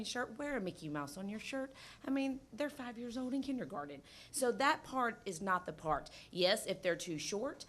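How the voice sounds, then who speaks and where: A woman elementary principal with a loud voice, talking at a board meeting into a table microphone. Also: medium pitch. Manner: loud-voiced, lively, conversational. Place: school board meeting room.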